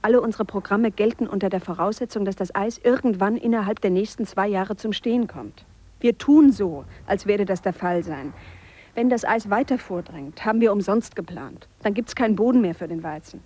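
An actress playing an earnest, reflective Swiss woman biologist who speaks a measured monologue in a radio play. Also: mid-range voice, warm tone, natural voice. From Switzerland. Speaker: biologist character. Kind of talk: radio drama monologue, spoken letter.

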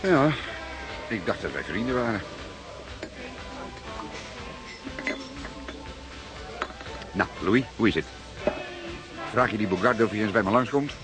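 An actor playing a seasoned, persistent police detective in a radio play, speaking deliberately and with dry formality. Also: male voice, fairly low pitch, expressive, over music, natural voice, poor audio. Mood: coaxing, insistent. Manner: deliberate, dryly formal, spells out words. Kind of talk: Dutch radio play acting.

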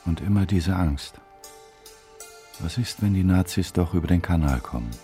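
An actor playing a bitter old émigré in a brooding inner monologue for a German radio drama. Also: male voice, sits low, moderate pace, lightly acted. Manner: brooding, reflective. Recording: soft music bed underneath. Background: faint music.

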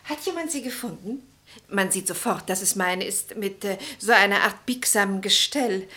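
A woman's voice: mid-range voice, normal speed, bright, forceful tone, close to an everyday voice. A woman playing a chatty, scatterbrained, bossy society lady in a German radio play, theatrical, fussy and inquisitive.

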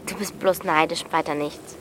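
A woman's voice: low-pitched, fairly even intonation, moderate pace, background noise, close to an everyday voice. A woman playing a feisty, defiant thirteen-year-old village girl in a radio drama, pert and insistent. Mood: pert, defensive.

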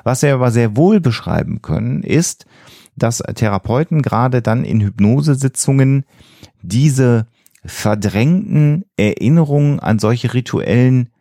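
A man's voice: medium pitch, expressive, measured pace, gravelly, mellow, no echo, studio quality. A man, co-hosting a podcast and explaining things in an earnest, emphatic way. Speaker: podcast co-host.

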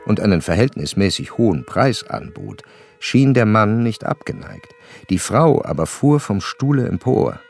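An actor playing an educated, reflective gentleman narrator in a literary radio drama, speaking in a measured, formal way. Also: lowish voice, vocal fry. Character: curious educated gentleman recounting a sad memory.

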